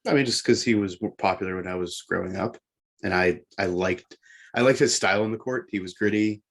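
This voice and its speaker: A man's voice: lowish voice, a little fast. A man co-hosting a casual sports discussion, explaining things in a matter-of-fact way. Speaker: sports podcast co-host.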